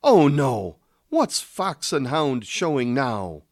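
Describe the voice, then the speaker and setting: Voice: mid-range voice, sing-song, slow, darkish tone, no echo. Melodramatic doomsayer acted out, panicky, wild intonation, radio drama, dry sound. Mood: panicked.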